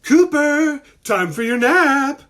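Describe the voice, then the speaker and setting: A man's voice: fairly high pitch, wildly swooping pitch, fairly quick, breathy edge, exaggerated voice. A man voicing a fond, cheerful mom in a storybook, with a singsong, motherly delivery. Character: fond, cheerful mom calling her small son.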